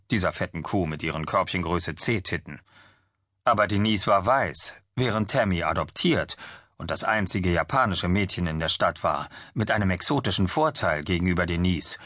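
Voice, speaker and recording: A man's voice: deep voice, pushed and bright, dry recording, studio quality. A man reading a fantasy novel aloud as an audiobook narrator.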